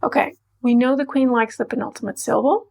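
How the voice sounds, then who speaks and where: A woman from Portugal talks through a scripted language lesson. Her voice sits a little high, with a gravelly, fry-tinged edge. She teaches in a playful, emphatic way and slows down to spell out words. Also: a little mellow.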